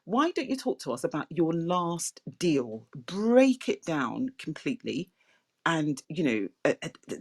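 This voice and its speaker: A woman hosts an interview in an online audio room. Her voice is soft and fairly low, but it rises and falls a lot, and she comes across as eager about the talk ahead. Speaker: host. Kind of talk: hosting an audio-chat interview. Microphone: remote audio-chat connection.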